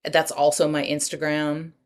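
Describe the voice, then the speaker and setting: A woman's voice: low voice, completely flat delivery, moderate pace. A woman, a breathwork practitioner and writer, talking as a podcast guest in a passionate, rambling way full of asides and the odd swear word. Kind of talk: podcast interview talk.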